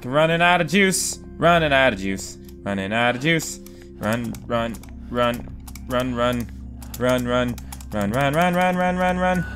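A man's voice: mid-range voice, fairly bright tone. A young man casually commenting on a horror game he is playing, rambling, swearing often and talking to himself. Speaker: gaming video host. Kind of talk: casual let's play commentary. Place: home room.

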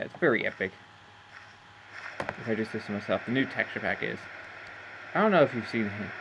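A man's voice: medium pitch, fairly bright tone, lo-fi sound. Male gamer narrating, chatty and meandering, mid-range voice, recorded with audible background noise. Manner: rambling, self-amused, loses his train of thought. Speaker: gameplay narrator. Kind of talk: casual game commentary.

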